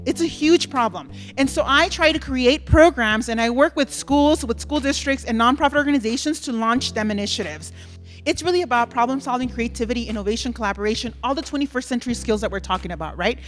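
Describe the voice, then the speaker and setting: A woman's voice: on the high side, quick pace, soft background music. A woman from El Salvador giving a personal keynote talk on stage, telling her story earnestly and reflectively. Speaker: keynote speaker. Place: conference stage with audience.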